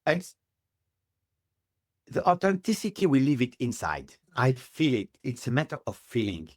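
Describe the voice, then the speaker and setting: Man, middle-aged, halting upbeat English, dry close sound. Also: mid-range voice, sing-song, darkish tone, no room sound. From Tunisia. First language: Arabic. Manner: halting non-native English, earnest and upbeat. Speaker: guest. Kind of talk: interview answers.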